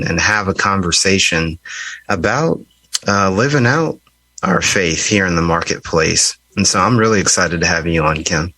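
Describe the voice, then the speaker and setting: A man hosting a podcast, introducing and interviewing a guest in a measured way with pauses and repeated words. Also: low-pitched, bright, forceful tone, no room sound, rough recording. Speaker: podcast host.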